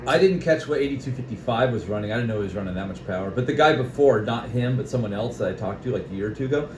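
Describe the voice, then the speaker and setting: A man's voice: medium pitch, lively intonation, very fast, darkish tone. An American man chatting casually over CB radio as an operator, explaining things and often checking for agreement. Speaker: CB radio operator and video maker. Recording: noisy, echoey room recording.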